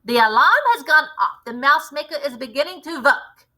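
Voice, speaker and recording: A woman voicing a villainous witch leader in a storybook, with a drawn-out, theatrical and imperious delivery. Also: sits high, expressive, sharp tone, pitched above the performer's own voice. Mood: gloating, menacing.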